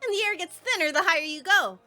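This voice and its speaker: Woman voicing a perky, high-pitched young village girl. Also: expressive, fast, sharp tone, soft background music, full character voice. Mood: eager, feisty. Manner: bubbly, slangy, playful. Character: perky, eager young female villager, feisty and informal. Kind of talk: animated fan dub acting.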